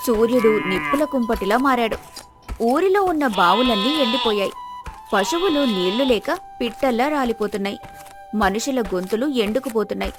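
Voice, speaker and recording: Female storyteller, fairly high pitch, scripted moral-tale narration, faint background music.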